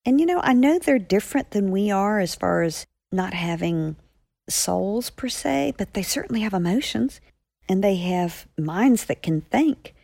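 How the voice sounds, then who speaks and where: A woman's voice: mid-range voice, expressive, measured pace, creaky, mellow, no echo, clean studio sound. A woman author explaining her stories conversationally to young listeners. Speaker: author and podcast guest.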